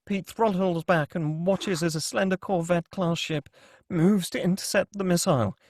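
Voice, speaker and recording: A man's voice: high-pitched, moderate pace, a little mellow, no room sound, studio quality. A man narrating screenplay stage directions in a scripted table-read style.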